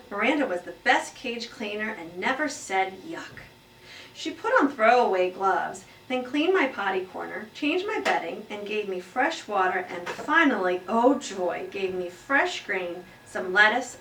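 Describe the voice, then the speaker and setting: A woman voicing a small, earnest pet hamster who tells his classroom story with expressive, emphatic delivery. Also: medium pitch, a little slow. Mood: earnest, content. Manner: expressive, exclaiming, emphatic read-aloud delivery. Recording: roomy echo, distant mic, faint noise.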